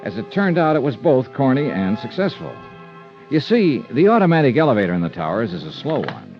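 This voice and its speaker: Hard-boiled male investigator, wry and animated, old radio drama sound, muffled, music about. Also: mid-range voice. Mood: wry, confident. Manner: brisk, wry, clipped questioning. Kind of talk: 1950s radio detective acting with first-person narration. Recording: dull old radio recording.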